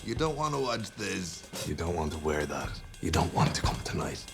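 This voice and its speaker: Controlling boyfriend, film comedy: deepish voice, quietly smug and passive-aggressive, music under the lines. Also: male voice, sing-song, moderate pace, gravelly, heavily acted. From Ireland. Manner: self-important, manipulative, understated. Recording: music behind the dialogue.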